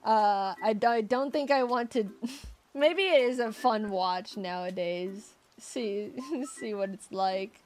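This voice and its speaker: A woman's voice: medium pitch, slow pace, a little mellow, faint music behind. A young woman streamer in casual chat with her viewers, rambling and giggly with lots of fillers, her voice getting scratchy.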